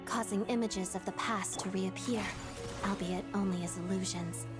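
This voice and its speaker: Poised, resolute young female adventurer for an anime game, voiced by a woman, medium pitch, over clear music. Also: fairly even intonation, moderate pace, natural voice. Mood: calm, thoughtful.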